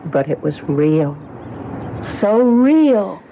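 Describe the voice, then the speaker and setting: An actress playing a young bride whose husband has vanished, pleading and insistent in a 1970s radio drama. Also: female voice, on the low side, very animated, extremely slow, full character voice. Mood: distraught. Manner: pleading, insistent, near tears. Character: young bride, shaken and bewildered. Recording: dull old radio broadcast with hiss.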